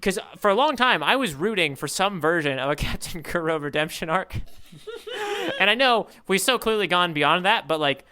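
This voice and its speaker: A man with a slightly high, bright voice chats casually as a podcast co-host. He rambles along with enthusiasm and reacts openly as he goes. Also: big pitch swings, moderate pace. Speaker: podcast co-host, the newcomer reader. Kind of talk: casual chat about a manga.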